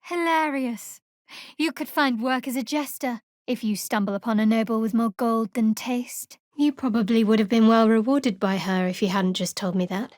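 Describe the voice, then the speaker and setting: Woman voicing a secretive wry young cleric girl for a fantasy game, kinda high voice, dry studio sound, measured and guarded. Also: darkish tone, no echo, lightly acted. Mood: dry, sardonic. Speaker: video game character voice actor. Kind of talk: video game voice lines, dramatic dialogue and spell calls.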